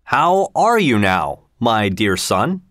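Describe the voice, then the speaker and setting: A man reading aloud, voicing an old king who speaks slowly and soothingly to his son. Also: fairly low pitch, sing-song, fairly bright tone, dry recording, clean studio sound, full character voice.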